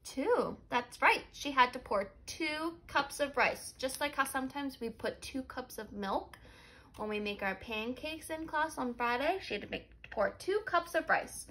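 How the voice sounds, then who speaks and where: High-voiced, animated woman teacher reading aloud. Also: unhurried. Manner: expressive, instructive, asks and confirms answers. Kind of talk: animated storybook read-aloud with questions to pupils.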